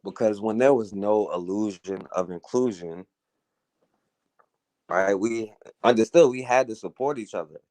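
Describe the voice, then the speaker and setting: Low-voiced man giving an earnest monologue. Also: measured pace, long pauses, darkish tone, no echo. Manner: earnest, conversational, frequent "you know" and "right?". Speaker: talk radio host. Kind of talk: casual monologue commentary.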